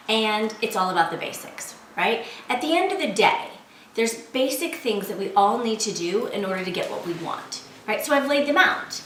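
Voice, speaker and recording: A woman's voice: a little high, fairly bright tone. A woman hosting a motivational vlog, talking casually and emphatically to viewers with frequent conversational check-ins. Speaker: host of a self-help motivational vlog. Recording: echoey, noisy room, distant mic.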